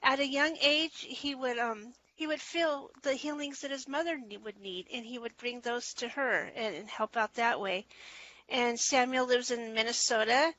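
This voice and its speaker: A woman's voice: a little high, normal speed, fairly bright tone, muffled recording. A woman co-hosting a spiritual talk show, speaking earnestly and enthusiastically over a video call. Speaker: co-host.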